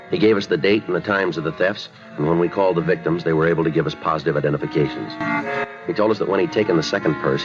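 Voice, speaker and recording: Very deep, fast American detective. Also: male voice. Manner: deadpan, clipped, procedural. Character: terse, matter-of-fact male police detective narrating a case. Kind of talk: 1950s radio drama narration. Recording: dull 1950s radio recording.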